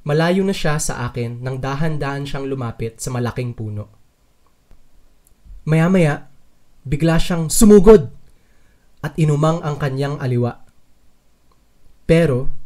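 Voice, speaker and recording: A man's voice: a little high, a little slow, long pauses, warm tone, close to an everyday voice. A man narrating a dramatized literary story in the first person as a curious, wary traveler. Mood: suspenseful. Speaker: narrator and lead character of a dubbed short story.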